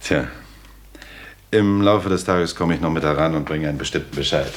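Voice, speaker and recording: Very deep, formal young gentleman. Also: moderate pace, slight background noise. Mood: reserved, noncommittal. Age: 20s. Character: reserved, polite, slightly indolent young gentleman lodger. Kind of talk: German radio play acting.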